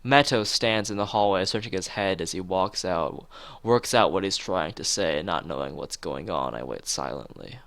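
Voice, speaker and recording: A man's voice: low-pitched, moderate pace, creaky, few pauses, fairly bright tone, no echo. A man streaming a visual novel, reading the narration aloud and chatting casually with joking asides to viewers.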